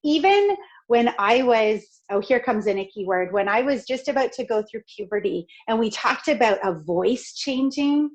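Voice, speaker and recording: A middle-aged woman from Canada with a raspy, crackly voice, talking in an outgoing, expressive way as a podcast guest. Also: on the high side, normal speed. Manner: outgoing, expressive, laughs often. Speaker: podcast guest, speaker and author. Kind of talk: upbeat conversational interview.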